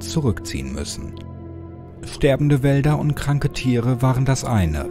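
A man narrates a scripted horror audiobook in a measured, solemn way. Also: mid-range voice. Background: background music.

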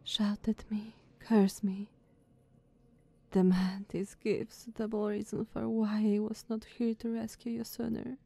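A woman playing a devoted, anxious female knight in an ASMR roleplay, speaking formally and hesitantly with breathy tenderness. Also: mid-range voice, little pitch movement, slow, dark tone. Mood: pleading. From Slavic country. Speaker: audio roleplay performer.

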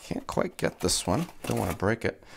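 A man's voice: lowish voice, big pitch swings, moderate pace, creaky, slight background noise. A man hosting a hands-on unboxing, casually narrating each step as he works without hurry. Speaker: host of a vintage electronics channel.

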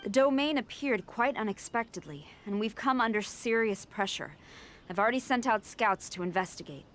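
A woman voicing a dutiful female army general in a video game, composed, earnest and formal, with measured authority. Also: fairly high pitch, fairly bright tone, a little hiss or hum, lightly acted. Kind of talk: video game character acting.

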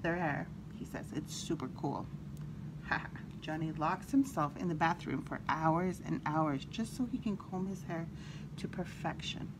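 A woman storyteller reading a children's picture book aloud in an animated, expressive way, with brief asides. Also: deep voice, measured pace. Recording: clearly audible background noise.

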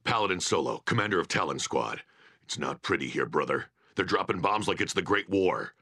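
A man playing a gruff, blunt, battle-worn squad commander in a video game, with a rough, raspy voice. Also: low voice, lively intonation, brisk, bright, forceful tone, full character voice. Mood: weary, blunt. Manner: blunt, hard-bitten. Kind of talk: video game character acting.

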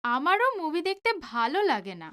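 A woman's voice: high-pitched, sing-song, moderate pace, pushed and bright, full character voice. A woman playing a polite, determined young student in an audio drama, earnest and girlish. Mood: sweet, shy.

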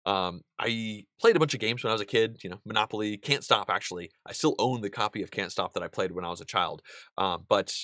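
A man in his thirties hosting a board game channel, seated at a desk in a small studio and answering viewer questions in a relaxed, chatty way with plenty of ums and restarts. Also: on the low side, fast, no room sound. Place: indoor studio room with a wall of game pieces.

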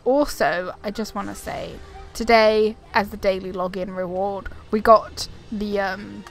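A woman's voice: mid-range voice, little pitch movement, measured pace. A young woman giving casual, rambling, giggly commentary as she hosts a video game playthrough. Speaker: gaming video host. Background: game music.

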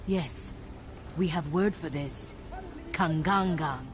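A calm alien tribeswoman in a video game, speaking slowly in accented, broken English. Her voice sits slightly low, over clearly audible music. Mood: earnest, resolute. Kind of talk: video game cutscene acting.

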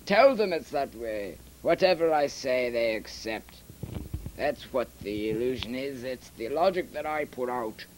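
A man's voice: mid-range voice, expressive, measured pace, lightly acted. A man playing a domineering, self-satisfied master of illusion in an amateur audio drama. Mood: smug, commanding. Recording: noisy lo-fi home recording.

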